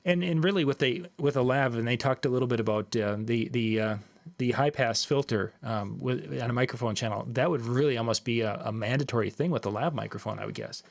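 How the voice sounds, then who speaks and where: A man's voice: medium pitch, fairly quick, creaky. A male host chatting casually, asking questions and often restarting his phrases. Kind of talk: casual chat.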